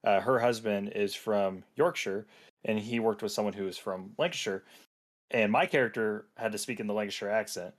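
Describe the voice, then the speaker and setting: A man's voice: on the low side, normal speed. A young man hosting a podcast who chats casually, rambling through stories and laughing easily. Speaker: podcast host.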